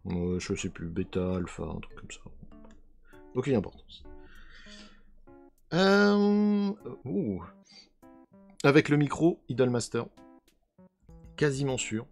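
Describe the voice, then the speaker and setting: Hoarse man musing over trading cards. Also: mid-range voice, big pitch swings, unhurried, long pauses, a little mellow, soft background music. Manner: musing, trails off hunting for names. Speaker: card unboxing video host. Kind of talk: casual unboxing commentary.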